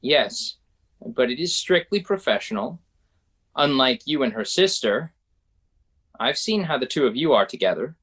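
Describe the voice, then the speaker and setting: A man's voice: mid-range voice, animated, moderate pace, pauses often, a bit bright, husky. A man playing a profit-minded, needling bank colleague in a musical table read, with an insinuating, pointed manner.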